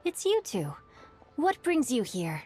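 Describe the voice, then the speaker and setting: A woman voicing a courteous, well-read young male swordsman who tells an old tale in a measured way, in video game dialogue. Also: a little high, huge pitch swings, over loud music, exaggerated voice. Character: bookish young male swordsman, polite and thoughtful.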